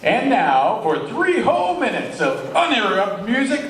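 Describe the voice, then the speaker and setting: A man's voice: high-pitched, wildly swooping pitch, normal speed, fairly bright tone. A man performing as a comic co-host in a live old-time radio sketch, with theatrical, savoring phrasing. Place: large indoor hall, live show. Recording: echoey hall from far off, noisy.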